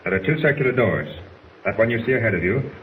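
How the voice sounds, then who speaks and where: An actor playing a stern, honourable older submarine commander in 1940s radio drama, with a grave, commanding manner. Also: male voice, fairly low pitch, lively intonation, quick pace, phone-quality sound, natural voice. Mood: resigned, grave. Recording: noisy, roomy 1940s radio recording.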